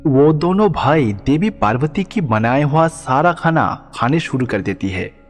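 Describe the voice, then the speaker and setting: A man's voice: on the high side, lively intonation, unhurried. A man narrating a scripted devotional storybook tale as the narrator. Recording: long reverb, background music.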